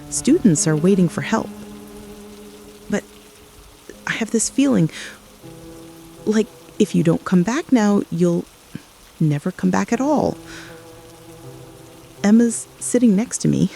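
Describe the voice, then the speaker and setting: A woman playing an anxious, loving wife and mother in a scripted radio drama, speaking haltingly and intimately, as if pleading. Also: mid-range voice, lively intonation, vocal fry, warm tone, slight background noise.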